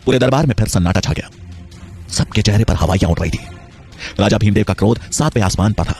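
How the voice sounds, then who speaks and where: A man's voice: on the low side, quick pace, creaky, mellow. A man narrating a scripted story in a dramatic storytelling style. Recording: background music.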